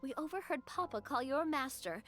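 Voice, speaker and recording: Cheerful teasing best friend girl in a game, high chatty voice, soft music under it. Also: moderate pace. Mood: playful. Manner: lively, familiar, chatty. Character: cheerful, teasing young woman, an affectionate childhood friend. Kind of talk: scripted video game dialogue.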